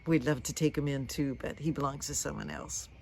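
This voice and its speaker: A woman reading a storybook aloud to children, gentle and conversational, with chatty, questioning asides. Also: sits low, steady tone, a little fast, vocal fry. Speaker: storybook reader.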